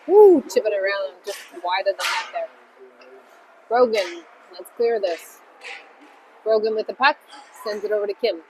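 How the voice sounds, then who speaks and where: A woman's voice: mid-range voice, a little mellow. A woman doing casual play-by-play at a hockey game, cheering on her own team. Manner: chatty, rooting for the home team. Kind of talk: amateur play-by-play commentary. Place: ice rink. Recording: noisy rink ambience.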